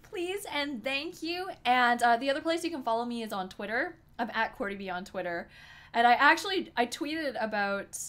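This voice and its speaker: A young woman with a higher, bright, forceful voice chats excitedly with her viewers from a desk indoors. Her voice has a slight hoarseness from talking for hours. She giggles often and speaks straight to her audience. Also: normal speed. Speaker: poker streamer. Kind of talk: live-stream commentary to chat. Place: small room indoors at a desk.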